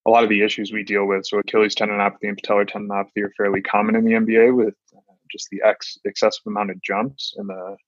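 A male sports scientist talking about his work in a flat, hesitant way with many uhs, over a remote call. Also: lowish voice, rapid-fire, vocal fry, dry recording, muffled recording. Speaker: physical therapist and sports scientist. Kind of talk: panel podcast discussion.

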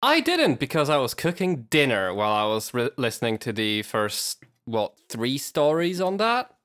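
A man co-hosting a podcast in relaxed, informal conversation. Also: medium pitch, normal speed. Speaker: podcast co-host. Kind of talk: casual chat.

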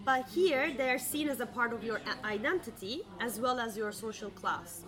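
Female podcast co-host, conversational and talkative, slightly high voice, audible crowd in the background. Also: normal speed. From outside France. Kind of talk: casual conversational podcast talk.

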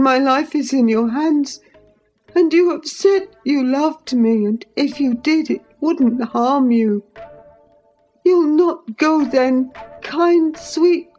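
A woman's voice: fairly high pitch, a little mellow, pitched above the performer's own voice, poor audio. A woman voicing a cowardly, self-pitying boy in a storybook, pleading in a whimpering, overwrought way.

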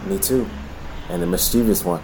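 A man's voice: deep voice, moderate pace, warm tone, a little hiss or hum, close to an everyday voice, low-quality recording. An actor playing an earnest, cautious and dutiful young man with a serious, admonishing way of talking in an audio drama. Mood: gentle, wary.

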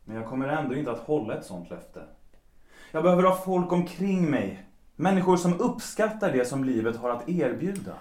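An actor playing a cynical, self-destructive bohemian composer in a period audio drama, world-weary and mocking, with self-pity underneath. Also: male voice, a little high, big pitch swings, darkish tone. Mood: fatalistic. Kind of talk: period stage-play audio drama acting in Swedish. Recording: roomy, echoing sound.

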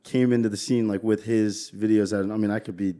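Profane, joking young podcast host. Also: male voice, on the low side, a little fast. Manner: loose, profane, animated banter. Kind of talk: casual podcast chat.

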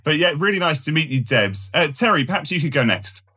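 A man hosting a podcast, speaking in a measured, polite way with the odd uh. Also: on the high side, lively intonation, pushed and bright, husky. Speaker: podcast host. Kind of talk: podcast hosting, scripted intro then casual chat.

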